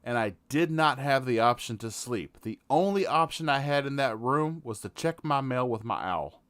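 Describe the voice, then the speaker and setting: A man hosting a casual gaming podcast, measured, talking things through in long stretches. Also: medium pitch, animated, fairly bright tone, no room sound. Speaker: podcast host.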